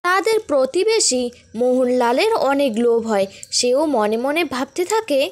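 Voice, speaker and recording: A woman's voice: high voice, lively intonation, a little slow. A woman storyteller reading scripted narration for a children's cartoon folk tale.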